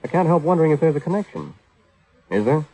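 An actor playing a wry, hard-boiled private detective who asks dry, clipped questions in an old radio drama. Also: male voice, on the high side, big pitch swings, fast talker, mellow, rough recording. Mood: probing. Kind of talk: 1950s radio detective acting.

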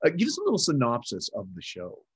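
A man's voice: mid-range voice, huge pitch swings, fast talker, breathy edge. A man hosting an interview podcast, reading a formal scripted welcome and asking guests questions. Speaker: podcast host.

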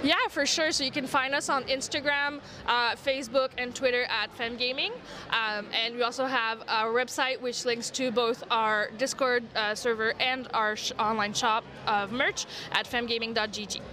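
A woman's voice: fairly high pitch, fairly even intonation, moderate pace, bright, forceful tone. A woman from Montreal whose first language is French, speaking as a guest in a convention interview, earnest and full of fillers. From Montreal, Canada. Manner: many fillers, earnest and eager. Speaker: guest from a gaming organization. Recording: crowd noise behind.

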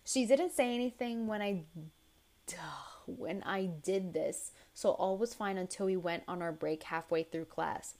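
A young woman hosting a casual advice podcast, blunt and chatty, with a tired, raspy voice as she reads listener stories aloud. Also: medium pitch, unhurried, a little mellow. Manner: blunt, chatty, swears freely. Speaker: podcast host.

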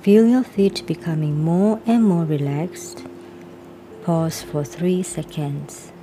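A woman reading a guided body-scan relaxation exercise aloud. She gives calm, even instructions and reads out each pause cue. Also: fairly low pitch, background noise. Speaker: reader of a self-help workbook. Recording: voice laid over music. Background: clear background music.

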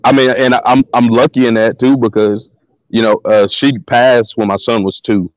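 Deep-voiced man telling stories, lo-fi line. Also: normal speed, no room sound. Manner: relaxed, slangy, repeats words as he talks. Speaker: guest. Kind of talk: casual storytelling interview.

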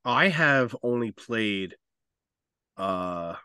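Animated man chatting on a dry podcast. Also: on the low side, very slow, smooth, no echo. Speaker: podcast co-host. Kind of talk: casual opinionated film and game chat.

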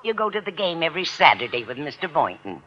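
Elderly landlady, American accent, motherly, frank, animated intonation, radio sitcom, muffled vintage recording. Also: low voice, fast talker, slight background noise. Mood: frank curiosity. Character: sweet, frank old landlady, gently scolding. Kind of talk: 1940s-50s radio sitcom acting. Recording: dull old radio recording.

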